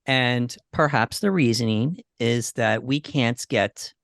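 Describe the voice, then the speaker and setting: A man's voice: medium pitch, takes it slowly, fairly bright tone, no echo. Man co-hosting a casual podcast, dry sound. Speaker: podcast co-host. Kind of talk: casual discussion of games and movies.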